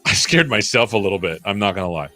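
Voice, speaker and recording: A man's voice: lowish voice, expressive, fast talker, pushed and bright, no room sound, soft background music. A man hosting a developer livestream, coding live and chatting as he works, often muttering to himself. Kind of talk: live coding, thinking aloud.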